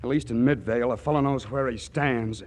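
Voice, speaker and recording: A man's voice: medium pitch, fairly even intonation, a little fast, darkish tone, soft background music, lightly acted. An actor playing a naive, earnest young small-town man in love, in 1940s radio comedy style. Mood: hurt. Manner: earnest, boyish, gee-whiz. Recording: vintage 1940s radio broadcast.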